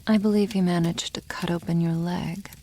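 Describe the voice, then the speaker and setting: A woman voicing a proud, teasing dragon girl in an ASMR roleplay, speaking softly and often near a whisper, with wry condescension toward humans. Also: lowish voice, fairly even intonation, normal speed, slight background noise, natural voice. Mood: amused, musing. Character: proud, teasing young female dragon, protective elder sister. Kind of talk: ASMR roleplay, addressing the listener.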